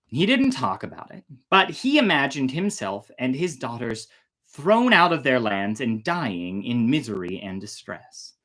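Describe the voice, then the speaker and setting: A woman hosting a storytime, reading a fairy tale in a theatrical, deliberate way with casual asides. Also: low-pitched, creaky, fairly bright tone, breathy edge. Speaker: storyteller and host.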